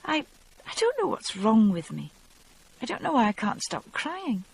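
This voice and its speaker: A narrator voicing a shaken young woman who speaks softly and haltingly through tears, in a husky voice. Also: fairly high pitch, wildly swooping pitch, pitched above the performer's own voice, full character voice. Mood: tearful. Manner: soft, shaky, hesitant. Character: shaken, tearful young Englishwoman.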